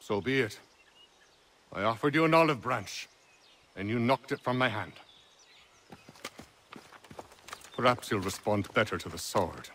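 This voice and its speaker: Game villain: deep-ish, persuasive colonial official, grand speechmaker with menace, faint ambience behind. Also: male voice, expressive, normal speed, fairly bright tone, reverberant, slight background noise. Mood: cold, threatening. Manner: oratorical, persuasive. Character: scheming older colonial official, smooth orator turning threatening. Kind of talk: dramatic video game acting.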